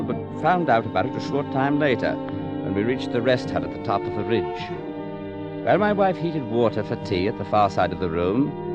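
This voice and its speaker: An actor playing a thoughtful physician in a 1940s radio drama, measured, probing and persuasive, on a muffled old broadcast. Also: male voice, on the low side. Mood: measured narration. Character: thoughtful, probing male physician and husband, formerly of London.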